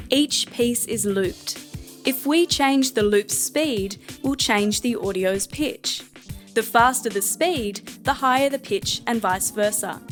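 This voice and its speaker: A woman narrating a scripted software tutorial in a measured, explanatory manner. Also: on the high side.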